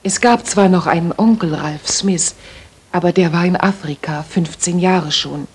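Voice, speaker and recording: A woman's voice: on the low side, measured pace, darkish tone, husky. An actress playing a worried young lady music teacher in a German radio play, speaking politely, earnestly and formally. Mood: earnest, confiding.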